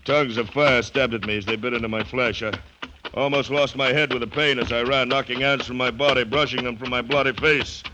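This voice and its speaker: A man's voice: medium pitch, fairly even intonation, brisk, muffled recording, natural voice. An actor playing a desperate man recounting an agonising attack, intense and breathless, in old American radio drama style. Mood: tense, haunted. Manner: intense, breathless first-person narration. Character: desperate man attacked by swarming ants, recounting his ordeal. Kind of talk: 1930s-1940s American radio drama acting. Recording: noisy old radio recording with clicks.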